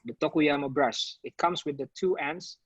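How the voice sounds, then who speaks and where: A man's voice: fairly high pitch, moderate pace, husky, no echo, highs cut off. A man from Indonesia, a dentist answering questions in a webinar with loose "you know" asides. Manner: explains at length with frequent "you know" fillers. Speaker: dentist presenter. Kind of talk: webinar Q&A explanation.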